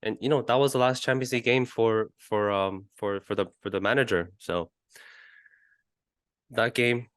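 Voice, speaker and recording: Male podcast host, lowish voice, casual and hesitant sports talk, close dry sound. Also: steady tone, normal speed, fairly bright tone, dry recording. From Asia. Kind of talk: casual football chat.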